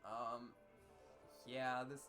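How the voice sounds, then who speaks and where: A man's voice: mid-range voice, level tone, extremely slow, a bit bright, soft background music. A man in casual, jokey banter, reading aloud and playfully riffing on odd misspelled words. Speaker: co-reader and commentator on a comedy reading stream.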